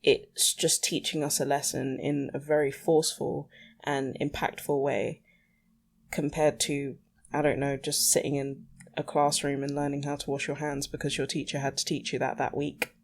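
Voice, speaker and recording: A woman's voice: low voice, darkish tone, slight background noise. Candid young woman podcasting casually. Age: young adult. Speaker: podcast host. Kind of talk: casual solo podcast ramble.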